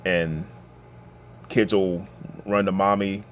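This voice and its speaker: A middle-aged man with a deep, bassy voice giving an informal advice monologue, talking conversationally and stressing his points. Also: level tone, slow pace, slight background noise. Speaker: podcast host giving family advice.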